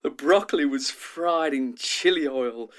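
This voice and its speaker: A man's voice: a little high, measured pace, lightly acted. An actor playing an eager, down-to-earth man in a radio drama, chatty and relishing each phrase. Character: eager, down-to-earth man savouring every taste. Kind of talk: audio drama acting.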